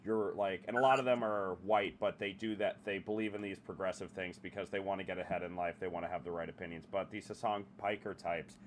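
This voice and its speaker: Low-voiced young man ranting bluntly. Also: steady tone, fairly quick, few pauses, slight background noise. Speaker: podcast host. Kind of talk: casual podcast chat.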